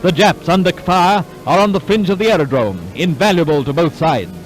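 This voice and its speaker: A man's voice: high-pitched, a little fast, rough recording. Aussie guy doing 40s war newsreel voiceover, music blaring behind, clipped and dramatic. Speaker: newsreel narrator. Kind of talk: 1940s wartime newsreel narration, scripted. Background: orchestral newsreel music.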